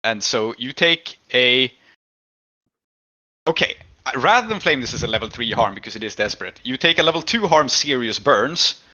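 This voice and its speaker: A man's voice: medium pitch, animated, normal speed, bright, forceful tone, muffled recording. A man acting as game master, explaining rules and narrating what happens in a tabletop role-playing game.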